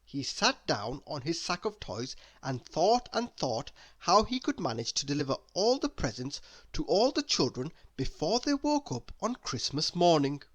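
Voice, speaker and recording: A man reading a children's story aloud in a deliberate, expressive way, leaning on key words. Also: on the high side. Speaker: storybook reader.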